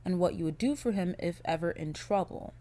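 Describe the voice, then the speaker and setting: A young woman hosting her own podcast, chatting casually in a blunt, profane, animated way, her voice a little raspy from a cold. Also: lowish voice, brisk. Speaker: podcast host. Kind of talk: casual solo podcast chat.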